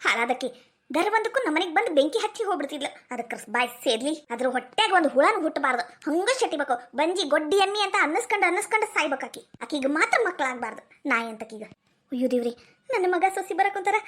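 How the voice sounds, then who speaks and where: A woman's voice: very high-pitched, a little fast, fairly bright tone. A woman playing a spiteful, scheming older mother-in-law in a family drama skit, taunting and melodramatic, with a North Karnataka accent. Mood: gloating, cursing.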